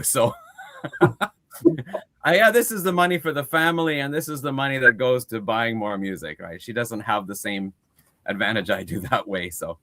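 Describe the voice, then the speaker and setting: A man's voice: mid-range voice, fairly bright tone, no room sound. An easygoing man in his fifties who laughs readily in casual chat. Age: 50s. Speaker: guest interviewer.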